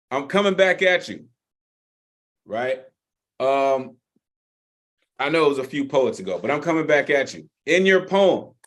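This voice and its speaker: A man in his forties hosts an online poetry open mic over a video call. He talks in a loose, hyped patter, full of shout-outs, fillers and restarts. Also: medium pitch. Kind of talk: casual hosting on a video call.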